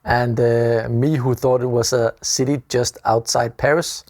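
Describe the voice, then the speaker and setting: Male interviewer, relaxed, fillers, mid-pitched, self-deprecating. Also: a little slow, no room sound, studio quality. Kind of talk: casual interview.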